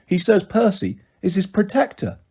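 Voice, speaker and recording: A man's voice: high voice, big pitch swings, normal speed, warm tone, slightly rough, pitched above the performer's own voice, exaggerated voice. A man voicing a hesitant, earnest young male satyr sidekick in a storybook narration. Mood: nervous.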